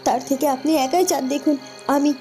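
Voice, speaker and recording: A woman's voice: sits high, steady tone, fast, warm tone, natural voice. A woman voicing an anxious, polite young cartoon heroine who speaks plaintively and repeats words for emphasis. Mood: indignant. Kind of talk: Bengali fairy-tale cartoon dubbing. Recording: music behind the voice.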